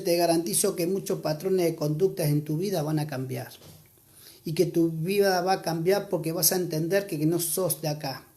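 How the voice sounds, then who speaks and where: A preacher with an Argentine accent, giving an earnest church sermon and reading scripture aloud, slowing down to stress key points. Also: medium pitch, warm tone. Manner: earnest, exhorting, slows down for emphasis.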